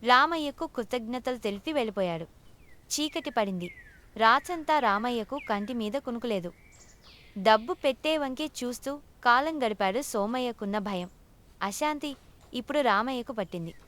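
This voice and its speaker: A female storyteller narrates a scripted moral tale. Her voice is pitched a little high. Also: expressive, normal speed, a bit bright.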